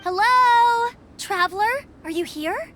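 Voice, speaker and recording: A woman's voice: very high-pitched, slow. An actress playing an upbeat, friendly young woman scout in a fantasy video game, eager and straightforward. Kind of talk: video game character acting. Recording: music playing behind the voice.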